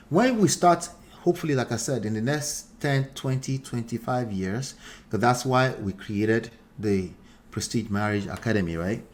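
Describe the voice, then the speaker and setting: A man with a Nigerian accent whose first language is the Akure dialect, hosting a relationship livestream, reading out viewer comments and repeating points with animated emphasis. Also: mid-range voice. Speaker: relationship coach host.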